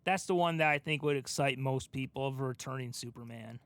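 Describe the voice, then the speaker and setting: A man co-hosting a podcast in casual, informal chat with an animated storytelling manner. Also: medium pitch, a little fast, no echo. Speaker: podcast co-host.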